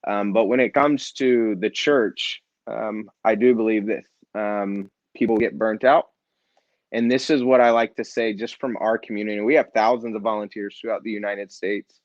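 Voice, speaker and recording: Earnest low-voiced man telling a story. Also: moderate pace, no room sound, muffled recording. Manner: earnest, animated storyteller who acts out quoted dialogue. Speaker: nonprofit founder and CEO. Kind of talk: storytelling in a podcast interview.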